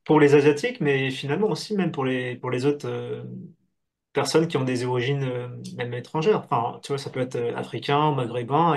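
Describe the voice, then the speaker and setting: A man's voice: mid-range voice, a little mellow. Guy hosting a chill interview, friendly, stumbles and restarts a lot. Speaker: host, interviewer. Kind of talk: casual interview conversation.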